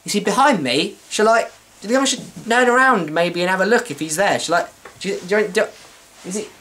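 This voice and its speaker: A man's voice: high voice, a bit bright, pitched above the performer's own voice. A man playing a silly, clueless pantomime actor with a patronising, exaggerated way of addressing an audience. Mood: clueless, hesitant. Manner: patronising, drawn-out questions to an audience.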